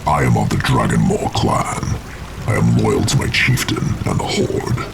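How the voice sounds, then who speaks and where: An actor playing a sinister, cruel orc warlock in a video game, gravelly and menacing, speaking deliberately. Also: male voice, rumbling low, heavy background noise, full character voice. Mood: stern, irritated.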